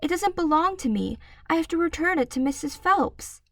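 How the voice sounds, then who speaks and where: A woman's voice: extremely high pitch, expressive, normal speed, fairly bright tone, no room sound, studio quality, pitched above the performer's own voice, heavily acted. A woman voicing a polite, eager little girl in a storybook reading. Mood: pleading. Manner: pleasant, eager, pleading.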